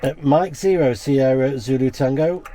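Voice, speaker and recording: A man's voice: medium pitch, normal speed, dark tone, slight background noise. A British man in a chatty, casual style, talking as an amateur radio operator and vlogger who spells things out in the phonetic alphabet. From United Kingdom. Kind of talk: casual vlog talk and radio contact exchanges. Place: portable radio outing.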